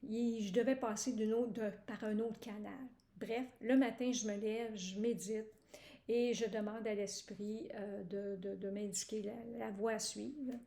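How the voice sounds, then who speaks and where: Halting Québécoise woman, gravelly tone. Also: mid-range voice, steady tone, mellow, reverberant. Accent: Québécois French. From Quebec, Canada. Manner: halting, many "euh" fillers and restarts. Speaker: program participant giving a testimonial. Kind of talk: hesitant personal testimonial.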